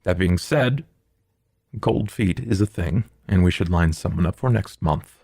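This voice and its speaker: A man playing a careful, polite vampire accountant in a tabletop game, speaking formally and in measured, wordy phrases. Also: sits low, animated, vocal fry, a little mellow, no echo, studio quality, heavily acted. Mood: polite, cautious.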